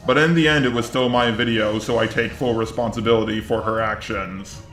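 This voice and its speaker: A man giving scripted online drama commentary in a measured, conciliatory way. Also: fairly low pitch, fairly even intonation, a bit bright, reverberant, soft background music. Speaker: YouTube commentator.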